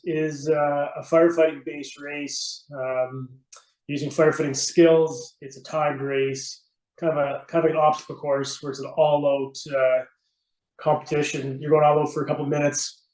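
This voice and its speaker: A man's voice: a little high, fairly even intonation, moderate pace, darkish tone. A middle-aged man from Canada talking casually as an interview guest about his own experience. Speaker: podcast guest, veteran firefighter and competitor. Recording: roomy, echoey sound.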